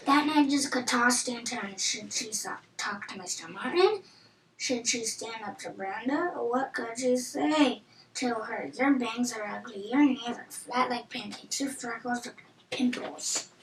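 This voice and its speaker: A woman's voice: on the high side, unhurried. A woman reading a children's storybook aloud. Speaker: storybook reader. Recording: roomy echo with audible background noise.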